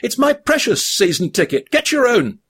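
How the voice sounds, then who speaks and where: A man voicing an anxious, whiny young male hobbit in a high strained squeak. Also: sing-song, moderate pace, a bit bright, slightly rough, full character voice. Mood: weary.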